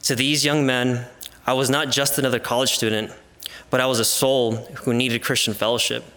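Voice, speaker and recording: A man's voice: mid-range voice, level tone, normal speed, sharp tone. Young American man, earnest scripted testimony. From California and Arizona, United States. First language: Spanish. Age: 20s. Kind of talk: scripted personal testimony, read aloud.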